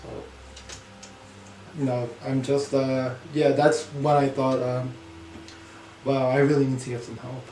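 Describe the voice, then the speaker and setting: A young man whose first language is English and second is Korean, speaking as an interview guest with many fillers, halting and soft about painful memories. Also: medium pitch, flat delivery, reverberant, distant mic, slight background noise, low-quality recording. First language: Korean is his second language; English first. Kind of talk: candid personal interview.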